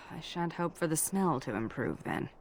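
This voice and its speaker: Game role: fastidious companion; formal, politely repelled, highly varied pitch. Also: female voice, lively intonation, gravelly, slight background noise, full character voice. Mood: polite disgust. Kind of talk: video game voice acting.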